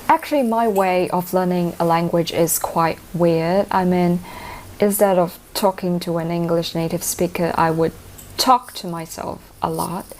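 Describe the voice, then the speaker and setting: Low-voiced woman, cantonese first language, chatty informal english answers. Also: darkish tone, a little hiss or hum, low-quality recording. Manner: fluent, informal, uses slang. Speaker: IELTS speaking candidate. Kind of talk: answering interview questions.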